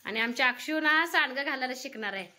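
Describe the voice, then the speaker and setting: A woman's voice: high-pitched, animated, fast talker, bright, forceful tone. A woman with a rural Maharashtrian Marathi accent, chatting casually as she narrates a home cooking vlog. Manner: chatty, conversational. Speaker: family vlog host.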